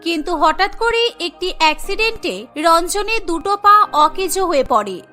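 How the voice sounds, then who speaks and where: A woman's voice: very high voice, animated, normal speed, sharp tone, soft background music. A woman reading scripted narration for a cartoon story as the storyteller.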